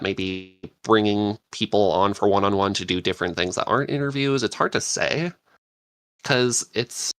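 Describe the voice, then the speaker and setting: A man's voice: lowish voice, fairly bright tone, no room sound. A man hosting a podcast in casual, unscripted chat, rambling along with enthusiasm. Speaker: podcast host and interviewer.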